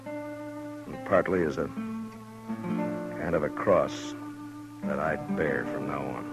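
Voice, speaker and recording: A man's voice: very deep voice, huge pitch swings, vocal fry, exaggerated voice. A man acting a stern, weary frontier lawman who narrates his story tersely in a 1950s radio western. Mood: somber, brooding. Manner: terse, grave, deliberate storytelling. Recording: vintage 1950s radio recording with music.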